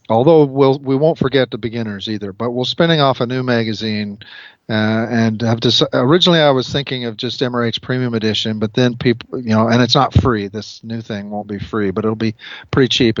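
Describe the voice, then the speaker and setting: A man on a podcast, explaining his magazine plans in a halting, filler-heavy way. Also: mid-range voice, no echo, muffled recording. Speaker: magazine publisher guest. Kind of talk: casual podcast chat.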